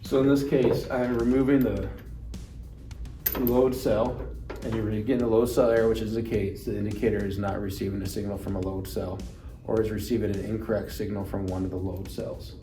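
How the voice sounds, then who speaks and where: A man's voice: lowish voice, flat delivery, a little fast. A man giving an instructional product demonstration, explaining things plainly and step by step. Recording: roomy echo, distant mic, music underneath.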